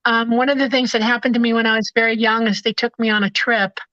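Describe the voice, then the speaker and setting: A woman's voice: a little high, little pitch movement, quick pace, fairly bright tone, dry recording, muffled recording. A woman with Swedish as her first language, telling her story earnestly on a video call with hesitant restarts. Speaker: guest. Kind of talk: casual video call conversation.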